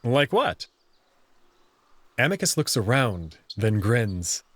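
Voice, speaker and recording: A man's voice: medium pitch, sing-song, takes it slowly, no room sound. A man reading first-person visual novel narration and dialogue as the story's protagonist.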